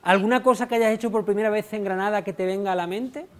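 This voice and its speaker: A woman's voice: medium pitch, quick pace, slight background noise. An adult woman teacher leading a classroom discussion with children, asking questions and repeating their answers back to them. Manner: asks questions, repeats pupils' answers back, encouraging "ujú".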